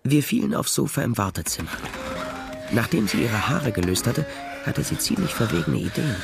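An actor playing a wry, worldly private detective, narrating a radio crime drama with dry understatement. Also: male voice, mid-range voice, big pitch swings, moderate pace, vocal fry, loud music behind the voice. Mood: narrating, restrained. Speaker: narrator and lead character.

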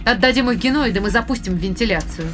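A woman's voice: fairly high pitch, quick pace, fairly bright tone, faint music behind, close to an everyday voice. Direct, practical spaceship medic woman played by an actress. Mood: brisk, skeptical. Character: practical female medical specialist on a spaceship crew. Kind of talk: audio drama acting.

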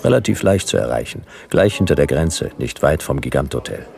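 An actor playing a world-weary detective, narrating a sci-fi radio play in dry, clipped noir fragments with a gravelly voice. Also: male voice, a bass voice, animated, brisk, a little mellow, exaggerated voice. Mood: brooding, laconic. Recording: music behind the voice.

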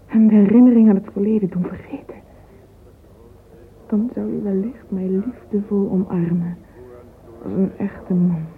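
A woman's voice: mid-range voice, fairly even intonation, moderate pace, long pauses, lightly acted. An actress playing a dreamy, yearning, romantic young woman in a radio drama monologue. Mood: dreamy longing. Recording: thin phone-like old radio recording, noisy.

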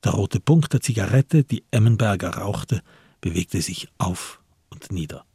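A man reading scripted literary narration as the narrator of a radio play, with a husky voice. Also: deep voice, animated, a little slow, warm tone, dry recording, clean studio sound.

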